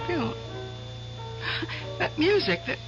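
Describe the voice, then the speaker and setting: An actress playing a sentimental, anxious wife in a 1970s radio drama, halting and emotional. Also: female voice, sits high, sing-song, over music, full character voice. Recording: dull vintage radio broadcast.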